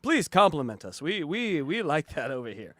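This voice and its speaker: A man hosting a comedy podcast, chatting casually in a halting way with many fillers and frequent giggles. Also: on the high side, big pitch swings, a bit bright, dry recording, clean studio sound. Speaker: podcast host.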